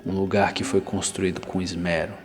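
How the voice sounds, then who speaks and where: A very low male voice guides the listener through an immersive ASMR scene. He speaks softly and unhurriedly, leaving pauses and slipping close to a whisper. A long reverb surrounds him, with music playing behind. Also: flat delivery. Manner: hushed, unhurried, with soft pauses, sometimes near whisper. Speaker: narrator of a guided ASMR roleplay podcast. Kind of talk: slow immersive ASMR guided narration, second person.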